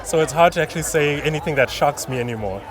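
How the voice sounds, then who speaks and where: A man answering a street interview in a thoughtful way. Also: on the high side. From South Africa. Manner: thoughtful, measured. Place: busy city street, outdoors. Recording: street crowd behind.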